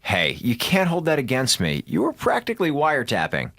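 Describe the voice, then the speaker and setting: A man's voice: medium pitch, huge pitch swings, brisk, fairly bright tone, full character voice. A man playing a nervous, placating space station officer in a comic radio drama, stammering and backpedaling. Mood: apologetic, defensive. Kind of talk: radio drama comedy acting.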